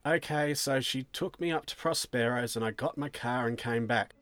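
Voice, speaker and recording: A man's voice: mid-range voice, normal speed, a bit bright, dry recording, lightly acted. A man playing a nervous, bewildered male suspect in a story, polite but defensive and hesitant. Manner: hesitant, perplexed, protesting.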